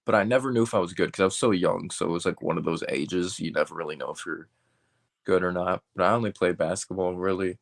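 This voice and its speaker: A man of about twenty from Georgia talks in a low-pitched voice with a dry, close sound. He chats casually about sports, correcting himself and leaning on "like" as he thinks aloud. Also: fast, creaky, no echo. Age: around 20.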